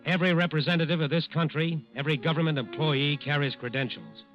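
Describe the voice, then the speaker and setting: A man's voice: a little high, steady tone, fast talker, fairly bright tone. Earnest American man narrating old radio. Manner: earnest, admonishing. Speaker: narrator of a crime drama. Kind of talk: scripted 1940s radio narration. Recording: dull old radio broadcast with music. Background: music.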